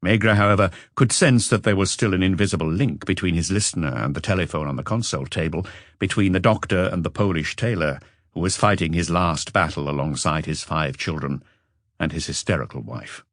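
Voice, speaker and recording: A man's voice: sits low, brisk, no room sound, clean studio sound. A man reading a mystery novel as a scripted audiobook narration.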